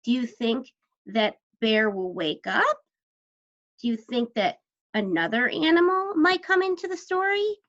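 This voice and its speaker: A woman teaching young children, asking questions and explaining words in simple, deliberate terms. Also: fairly high pitch, lively intonation, a bit bright, lo-fi sound. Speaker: teacher guiding young children through a story.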